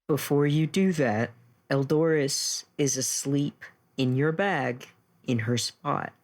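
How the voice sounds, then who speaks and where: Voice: mid-range voice, takes it slowly. A player in a tabletop roleplaying game, plainly narrating a character's actions.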